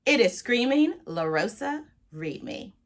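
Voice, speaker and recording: A woman talking casually to viewers as a book vlogger, with an expressive, playful and emphatic delivery. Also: high-pitched. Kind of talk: casual, chatty talk to viewers.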